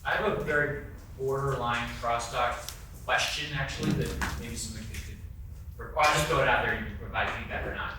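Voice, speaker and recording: A man's voice: medium pitch, fairly even intonation, quick pace. A man talking casually to a group, tentative and rambling as he puts his thoughts across. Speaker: twelve-step meeting member asking a question. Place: large echoey indoor convention room. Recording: echoey room, distant mic, faint noise.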